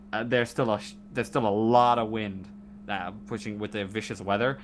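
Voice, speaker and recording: A man running a tabletop game, improvising scene narration in a halting way and repeating phrases as he searches for words. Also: on the low side, fairly bright tone, a little hiss or hum. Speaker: game master.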